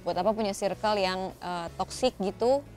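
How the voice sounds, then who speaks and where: A woman's voice: medium pitch, normal speed, darkish tone, faint music behind. A woman hosting a casual advice talk, speaking informally with English words mixed in. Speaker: host reading audience questions.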